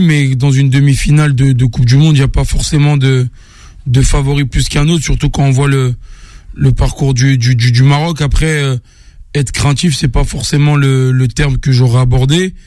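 A man giving calm, measured sports analysis on radio, pausing often. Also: mid-range voice, no room sound. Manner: calm, halting with fillers.

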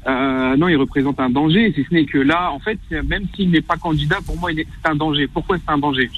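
A man's voice: fairly high pitch, brisk, fairly bright tone, a little hiss or hum. A man in his thirties from Algeria, calling in to a radio show and speaking hesitantly, repeating words often. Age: 30s. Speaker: caller. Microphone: phone line.

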